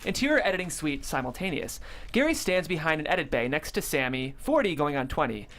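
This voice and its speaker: A woman's voice: sits low, very animated, fairly quick, fairly bright tone. A woman narrating stage directions from a screenplay at a table read.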